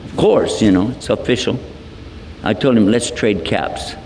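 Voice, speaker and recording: A man's voice: on the low side, measured pace, a little mellow, slight background noise. A Texan man giving a humorous, folksy public lecture, telling stories with joking asides. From Texas. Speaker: Islamic lecturer. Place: large multipurpose hall. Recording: reverberant hall from a distance.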